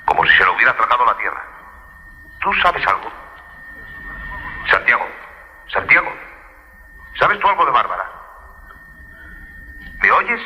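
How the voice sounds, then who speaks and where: An actor playing an urgent, worried friend who presses for answers in a horror radio drama. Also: male voice, mid-range voice, sing-song, measured pace, pauses often, muffled recording. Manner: pressing, questioning, incredulous. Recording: bright but band-limited, reverberant sound.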